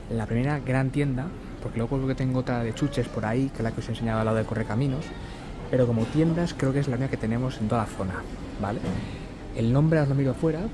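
Chatty man vlogging over loud music. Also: medium pitch. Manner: chatty, filler-heavy, addresses viewers directly. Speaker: theme park vlogger. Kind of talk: casual walking-tour vlog. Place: outdoors in a theme park, then inside a shop.

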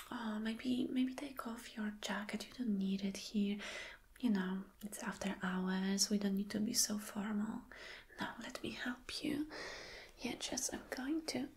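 A woman whispering softly in an ASMR roleplay as a flirty, attentive boss, gentle and reassuring. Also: on the high side. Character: flirty, attentive female boss soothing an employee. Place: small dim room indoors.

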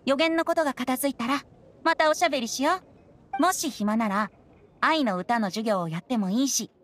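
A woman's voice: high voice, moderate pace, lightly acted. A woman voicing a carefree, sly young boy bard in an anime game, light, breezy and teasing. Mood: knowing, breezy. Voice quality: light, boyish. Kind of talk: anime game character acting. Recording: game music behind the voice.

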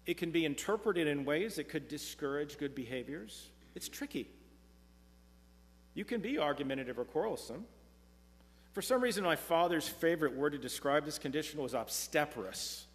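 A middle-aged man preaching a sermon from a pulpit, earnest and measured, slowing down when reading scripture. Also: a little high, lively intonation. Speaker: pastor. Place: church sanctuary, speaking from a pulpit. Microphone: pulpit mic, far camera. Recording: long church reverb.

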